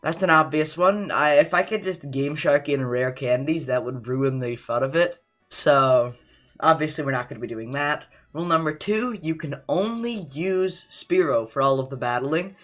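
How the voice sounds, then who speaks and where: A man's voice: on the high side, moderate pace, fairly bright tone, faint music behind. Casual young man with a cough. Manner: casual, joking, self-deprecating asides. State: slight cough. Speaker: gaming YouTuber. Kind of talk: game challenge commentary over gameplay.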